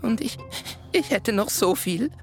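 A man playing a creepy, jumpy circus clown in a radio play, with an odd, halting manner. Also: high-pitched, animated, faint music behind. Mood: nervous, evasive.

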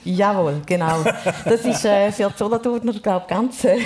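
A woman's voice: medium pitch, moderate pace, a crowd in the background. A woman in her forties with a Thurgau Swiss German accent, telling stories as a guest in a chatty way. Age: 40s. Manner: chatty storyteller.

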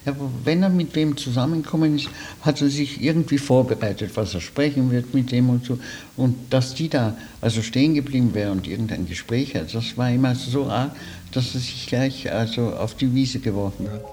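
A man casually recollecting, in a halting, self-correcting way. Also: medium pitch, warm tone.

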